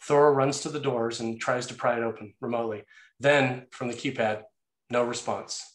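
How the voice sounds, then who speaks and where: A man's voice: medium pitch, fairly even intonation, normal speed. A man narrating action and scene headings from a screenplay in a scripted read. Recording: roomy, echoey sound.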